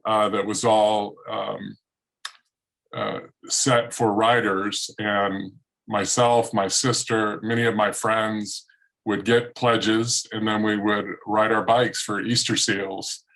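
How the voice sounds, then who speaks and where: A man telling a personal anecdote in a halting way, pausing between phrases. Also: on the low side, level tone, gravelly, fairly bright tone, poor audio.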